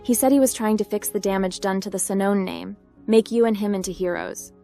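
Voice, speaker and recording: A woman's voice: medium pitch, brisk, creaky, warm tone, soft background music, lightly acted. A woman voicing a tough, sardonic young female hacker captain in a roleplay game series, dry and curt. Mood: matter-of-fact. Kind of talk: voiced roleplay game protagonist.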